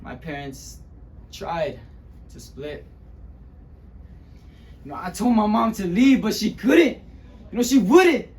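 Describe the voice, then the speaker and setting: Wounded young Mexican-American man, acted by a male performer, stage monologue; slow, halting, higher-pitched, dramatic. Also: animated, darkish tone. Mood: anguished, angry. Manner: dramatic, halting delivery with long pauses. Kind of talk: dramatic interpretation monologue, speech-competition acting.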